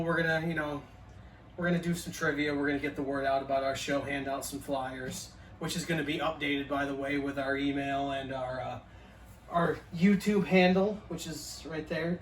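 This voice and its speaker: A man's voice: fairly high pitch, fairly bright tone, a little hiss or hum. Echoey, distant man in his forties. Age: 40s. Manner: profane, laid-back banter. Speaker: podcast co-host. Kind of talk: casual chat. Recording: echoey room, mic at a distance.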